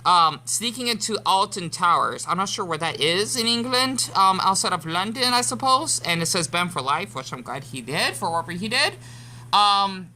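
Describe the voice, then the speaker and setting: Bright-voiced rambling American woman. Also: mid-range voice, expressive, normal speed, a little hiss or hum. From United States. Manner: rambling, with many fillers and restarts. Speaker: YouTube commentator. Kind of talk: casual reaction commentary.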